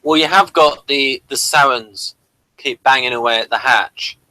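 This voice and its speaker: Man, show host, bright forceful voice, dry recording, casual review discussion. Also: medium pitch, unhurried, no room sound, low-quality recording.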